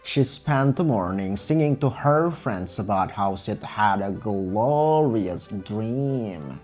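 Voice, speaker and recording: A man's voice: on the low side, lively intonation, slow pace, a little mellow, faint music behind. A man narrating a scripted fairy tale for children as a storyteller.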